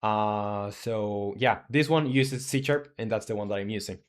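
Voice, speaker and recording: A man's voice: on the low side, steady tone, normal speed, smooth. Young man thinking aloud while coding. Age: 20s. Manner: rambling, thinking aloud with fillers, answering chat. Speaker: game developer streamer. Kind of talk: casual live-stream chat.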